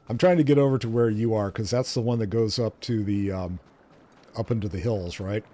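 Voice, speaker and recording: A man's voice: on the low side, normal speed, long pauses, warm tone. A man co-hosting a gaming show, chatting casually and thinking aloud as he plays. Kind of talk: casual gameplay chat.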